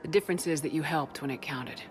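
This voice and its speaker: A woman playing a determined, steady military commander in a video game, speaking firmly and earnestly. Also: low-pitched, quick pace, music in the background, lightly acted. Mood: attentive, concerned.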